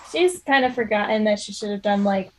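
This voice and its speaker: A woman's voice: medium pitch, a little fast, a crowd in the background. A woman talking casually as a player in a tabletop game.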